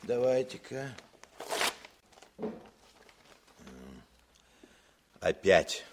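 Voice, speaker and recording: An actor playing a genial, authoritative artillery commander with a husky voice in a Soviet radio drama. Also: male voice, mid-range voice, animated, measured pace, darkish tone. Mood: troubled, commanding. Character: genial, brisk artillery commander among his officers.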